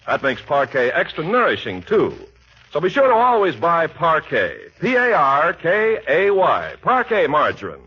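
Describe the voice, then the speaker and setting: A man announcing a 1940s radio show in a polished, emphatic sponsor-pitch style. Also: wildly swooping pitch, a little slow. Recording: muffled, raspy 1940s radio recording.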